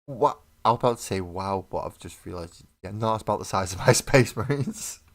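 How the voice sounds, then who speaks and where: Brief: low-voiced man, casual stream host, bit of a cough, chats with viewers. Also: expressive, fairly quick. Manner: chatty, laughs often, talks to viewers in chat. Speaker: mini painting livestream host. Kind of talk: casual chat while building models.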